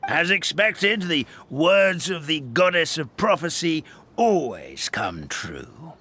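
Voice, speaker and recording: An actor playing a kindly, excitable old grandfather in an English fantasy game dub, halting and dramatic. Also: a little high, slightly rough, exaggerated voice. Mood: earnest, reverent. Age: older. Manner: halting, sighing, dramatic, swooping. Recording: music behind the voice.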